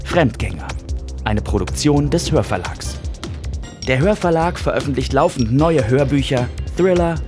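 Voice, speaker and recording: A man's voice: medium pitch, lively intonation, normal speed, over music. A man reading a scripted podcast outro as announcer.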